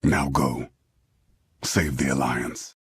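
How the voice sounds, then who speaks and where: A man playing a grave former enemy turned devoted ally in a video game, solemn, measured and earnest, with a husky voice. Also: extremely low pitch, steady tone, heavily acted. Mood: tender, grave. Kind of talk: dramatic video game acting.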